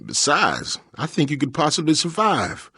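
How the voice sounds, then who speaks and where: A man's voice: a little high, big pitch swings, normal speed, bright, forceful tone, husky, no echo, studio quality, full character voice. A man voicing an easygoing, wry adventurer in a fantasy video game, with a casual, joking delivery. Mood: wry, friendly.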